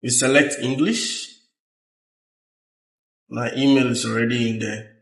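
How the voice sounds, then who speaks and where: Guy doing a step by step tech how-to, talks slow and halting, echoey room. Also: medium pitch, steady tone, creaky. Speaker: tech tutorial presenter. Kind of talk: hands-on instructional walkthrough. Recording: roomy echo, uneven distance from mic.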